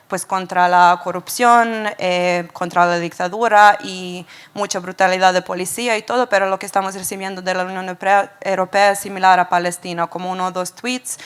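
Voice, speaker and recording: Serbian woman with a clear, level voice. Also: lowish voice, steady tone, brisk, few pauses. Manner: fluent but non-native, indignant, wry asides. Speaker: audience member asking a question. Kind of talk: spontaneous public question at a panel.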